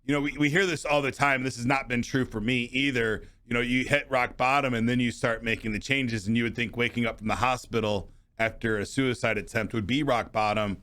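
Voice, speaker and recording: Enthusiastic podcast host guy, crisp bright tone, talking into a studio mic. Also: medium pitch, steady tone, fairly quick, hardly any pauses. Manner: enthusiastic, friendly. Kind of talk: interview over video call. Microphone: studio microphone.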